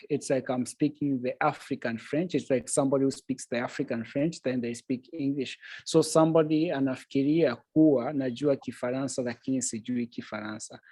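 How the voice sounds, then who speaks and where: A man of ordinary pitch teaches Swahili on a video call, saying each point in Swahili and then again in English. He is patient, talks slowly, and laughs easily. His voice is dry and close, with no room sound behind it. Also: warm tone, no echo.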